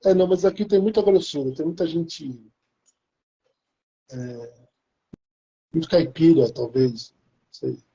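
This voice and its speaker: A man's voice: fairly high pitch, unhurried, long pauses, warm tone, husky, dull audio. A Brazilian man with a Brazilian Portuguese accent, chatting casually in a rambling way with many restarts. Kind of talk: casual chat.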